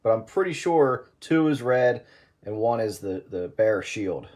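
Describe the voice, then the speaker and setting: Lowish-voiced man explaining from memory. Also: lively intonation, unhurried, heavy background noise. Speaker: radio hobbyist giving advice. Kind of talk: casual explanation.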